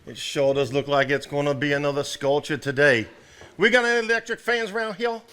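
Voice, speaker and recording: An actor's folksy, exasperated small-town man, higher-pitched. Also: brisk, bright, forceful tone, smooth. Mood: amused exasperation. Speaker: stage actor. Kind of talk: live stage play dialogue.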